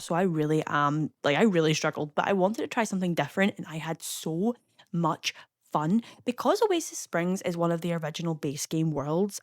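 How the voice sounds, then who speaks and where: A young Scottish woman chatting casually as a voice-over, rambling with lots of fillers and quick asides. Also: on the low side, dry recording, clean studio sound. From Scotland. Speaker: YouTuber narrating a game build.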